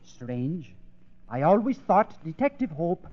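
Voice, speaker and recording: A man's voice: a little high, sing-song, slow pace. A man playing a dying, bragging detective in a 1930s radio comedy sketch. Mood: melodramatic. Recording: noisy, muffled old radio recording.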